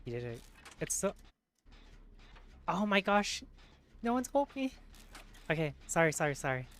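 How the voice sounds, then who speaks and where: A live streamer with a tired, hoarse voice, chatting casually with viewers, giggling often and correcting themself mid-sentence. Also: mid-range voice, very animated, measured pace, a bit bright, a little hiss or hum. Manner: giggly, laughs often between remarks; rambling, self-correcting. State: voice worn and hoarse from long streaming.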